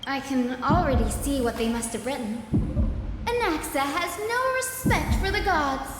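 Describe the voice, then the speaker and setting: A woman playing a lively, teasing young scholar in a video game, chatty and theatrical. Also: sits high, moderate pace, noisy background, natural voice. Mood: playful disgust. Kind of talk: video game character dialogue. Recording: echoey reverberant hall, loud background music.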